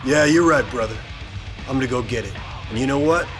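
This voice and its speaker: An actor playing a wary, blunt but loyal biker in a video game cutscene. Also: male voice, medium pitch, a little fast, over music, lightly acted. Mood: grudging.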